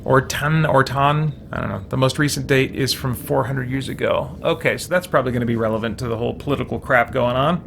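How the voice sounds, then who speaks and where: A man's voice: medium pitch, fairly quick, vocal fry, few pauses. A man hosting a video game let's play, giving casual commentary, muttering to himself and reacting theatrically in role. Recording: loud game noise behind voice. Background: video game sound.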